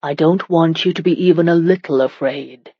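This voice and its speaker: A woman voicing a commanding, protective medieval warrior husband in a romance audiobook, firm, measured and often exasperated. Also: low voice, slightly rough, no echo, muffled recording. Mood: gentle, firm.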